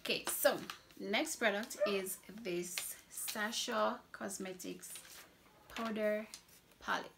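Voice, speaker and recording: A young woman from Trinidad works as a makeup artist and reviews her products for a casual vlog. She talks slowly, with a gravelly fry, and stacks up repeated words to stress how much she loves something. A faint hiss of background noise sits under her voice. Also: medium pitch, fairly even intonation. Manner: enthusiastic, repeats words for emphasis.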